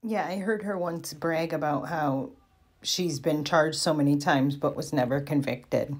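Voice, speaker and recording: A woman's voice: sits low, measured pace. A woman giving dry, skeptical reaction commentary in a sardonic manner. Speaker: video commentator. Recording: faint background noise.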